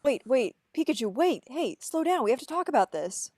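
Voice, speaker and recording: A woman's voice: sits high, big pitch swings, moderate pace, warm tone, no room sound. A woman playing an earnest, desperate young man in a table read of a film script, pleading with emotion. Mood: desperate, insistent.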